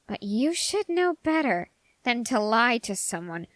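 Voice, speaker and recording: A woman voicing a dark, obsessive young man in an ASMR roleplay, speaking formally in deliberate, halting phrases that can slip toward a whisper. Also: a little high, very animated, no room sound. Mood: pleading, intense. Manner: silky, formal menace, slipping into whispers.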